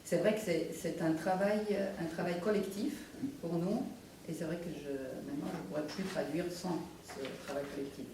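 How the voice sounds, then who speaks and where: A woman's voice: on the low side, steady tone, normal speed, mellow. A woman from Croatia, a native Croatian speaker, talking as a translator on a panel, often repeating words as she searches. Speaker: round-table panelist, literary translator. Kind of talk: spontaneous round-table discussion. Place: reverberant room at a round-table event. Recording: very echoey room, distant mic, faint noise.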